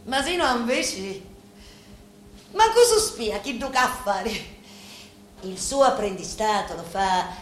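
An actress voicing a proud, darkly tender woman of a crime family, in a theatrical monologue with a Sicilian accent and deliberate, broken phrasing. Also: a little high, big pitch swings. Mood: proud, wistful. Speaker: stage actress. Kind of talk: theatrical monologue in Italian mixed with Sicilian dialect. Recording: echoey room with faint noise.

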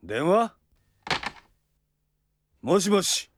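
An actor playing a stern, pragmatic middle-aged police section chief in a Japanese audio drama, gruff and authoritative. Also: male voice, high voice, big pitch swings, drawn-out words, exaggerated voice. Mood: terse, guarded.

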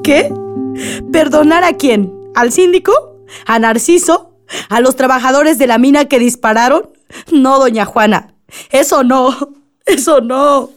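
A woman's voice: high voice, unhurried, soft background music. A woman playing a grieving, bitter, defiant mother in a radionovela, emotional and protesting. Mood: anguished protest. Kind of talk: radionovela acting.

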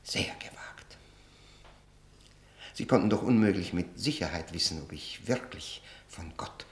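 An actor in a German radio play playing a bitter, ironic, educated convict who speaks deliberately and pauses for effect. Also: male voice, low-pitched, expressive, echoey room, in a whisper. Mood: bitter, accusing.

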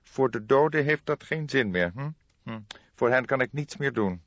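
A man's voice: medium pitch, expressive, moderate pace, fairly bright tone, no room sound, highs cut off, voice pushed up. A man voicing a haughty, evasive doctor in his late fifties for an audiobook, growling and hemming nonchalantly. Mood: evasive, indifferent. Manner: nonchalant, hesitant hemming and drawling.

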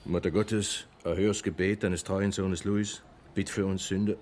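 A man's voice: sits low, level tone, moderate pace, darkish tone. An actor playing a pious, bewildered man praying fervently in a German radio play. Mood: devout. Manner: fervent, reciting prayers.

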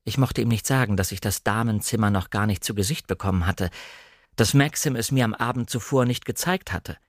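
A man's voice: fairly low pitch, fairly quick, dry recording, clean studio sound. A man narrating an audiobook, reading scripted literary first-person prose in a composed, careful storytelling manner.

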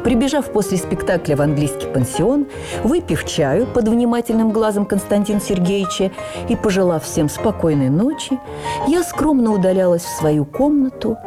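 A woman reads a first-person memoir as dramatic radio narration, with theatrical, expressive phrasing. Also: mid-range voice, moderate pace. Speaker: actress reading a memoir in a radio production. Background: music under the voice.

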